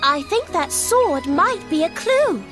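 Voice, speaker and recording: Very high, perky young adventurer. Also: female voice, very animated, slow, music in the background, full character voice. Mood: puzzled, bright. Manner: chatty, informal, frank. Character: perky, blunt, curious young female adventurer. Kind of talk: fantasy game voice acting.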